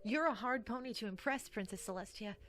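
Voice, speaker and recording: A woman's voice: fairly high pitch, brisk, a bit bright, faint music behind, close to an everyday voice. A woman voicing an ambitious, stubborn young pony student who talks cockily. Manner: cocky and dismissive.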